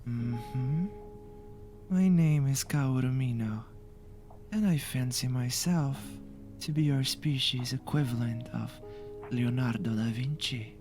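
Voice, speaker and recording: Faint music runs under a very slow, mid-pitched male voice. A man acts an extravagant ghoul mask maker for an anime roleplay, playful and teasing, savouring his theatrical phrases and dropping into breathy asides. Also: darkish tone. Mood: theatrical, delighted. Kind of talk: anime fan audio roleplay with ASMR touches.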